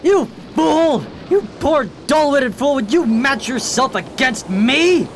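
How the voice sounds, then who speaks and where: High-voiced gloating pirate captain. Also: male voice, lively intonation, takes it slowly, slight background noise, heavily acted. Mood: scornful. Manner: theatrical, taunting, commanding. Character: gloating, cruel, mocking male pirate captain. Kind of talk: pirate radio drama acting.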